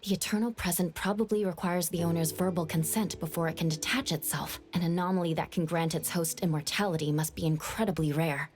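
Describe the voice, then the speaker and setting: A woman's voice: fairly low pitch, fairly even intonation, fairly quick, hardly any pauses, a little mellow, close to an everyday voice. A woman voicing an earnest, thoughtful young investigator in an anime-style game. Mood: pensive, concerned. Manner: earnest, reasoning aloud. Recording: faint music behind the voice.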